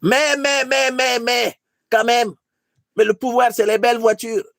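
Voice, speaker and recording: Casting: aged dictator mentor, mocking and scolding in a very high voice, satirical skit, close dry sound. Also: male voice, flat delivery, measured pace, vocal fry, a bit bright, no echo, exaggerated voice. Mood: weary, complaining. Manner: exclaiming, scolding, repeats himself. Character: old dictator grand master, weary, imperious, mocking mentor.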